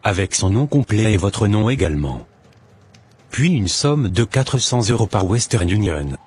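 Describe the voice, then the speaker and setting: A man's voice: fairly low pitch, big pitch swings, measured pace, darkish tone, husky, full character voice. A man playing a formal, matter-of-fact male fortune-teller who sells love spells in a cartoon. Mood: businesslike. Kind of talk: scripted cartoon dialogue.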